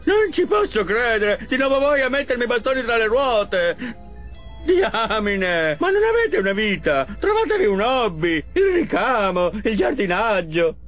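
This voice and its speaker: A high-voiced mad scientist villain, whiny and boastful, in a cartoon game scene. He draws his words out with wild melodramatic swings, over clear music. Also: big pitch swings, unhurried, fairly bright tone, heavily acted. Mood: exasperated. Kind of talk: animated video game cutscene acting, Italian dub.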